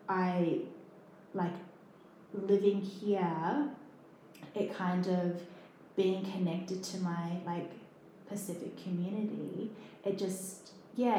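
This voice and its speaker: A woman's voice: medium pitch, dark tone, a little hiss or hum. A woman from New Zealand, a podcast guest talking casually, with many fillers and restarts. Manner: chatty, lots of fillers and restarts. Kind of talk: casual conversation. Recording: echoey room.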